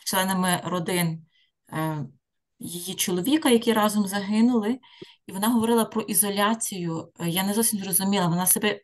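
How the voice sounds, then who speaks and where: A woman taking part in a webinar over a video call, asking a question slowly and hesitantly. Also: medium pitch. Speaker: webinar participant.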